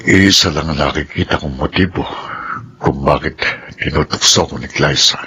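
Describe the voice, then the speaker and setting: A man's voice: rumbling low, normal speed, music in the background. An actor playing a cautious, troubled older stepfather in a Filipino radio drama, with a Filipino accent. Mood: troubled, wary.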